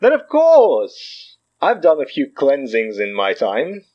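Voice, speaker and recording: A showman mystic guru for an audio drama, smooth-talking and grandiose. His pitch sweeps up and down theatrically, in slightly muffled audio. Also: huge pitch swings, slow, full character voice. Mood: showy, obliging. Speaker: self-styled guru and herb seller. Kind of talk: audio fiction podcast acting.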